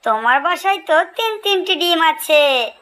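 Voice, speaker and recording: A woman's voice: very high-pitched, lively intonation, normal speed, bright, forceful tone, pitched above the performer's own voice, heavily acted. A woman voicing a clever, bold little female bird, a protective friend in a children's cartoon, speaking emphatically and reassuringly. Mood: cheerful, reassuring.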